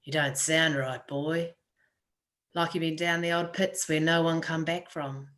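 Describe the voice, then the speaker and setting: A woman reads as a protective rustic uncle in a story, pitched low. He is wary and gruff, giving warnings in country dialect. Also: steady tone, unhurried, a bit bright.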